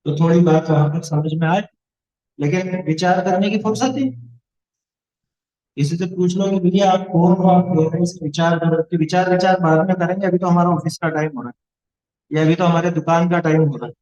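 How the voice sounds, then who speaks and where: A man's voice: high-pitched, darkish tone, dull audio. A man teaching a religious studies lesson to a class of children, explaining points and asking the class questions.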